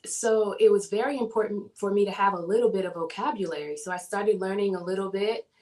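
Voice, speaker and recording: A woman from the southern United States, a guest in a casual interview, telling her story in an animated way and laughing often. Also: medium pitch, rough recording.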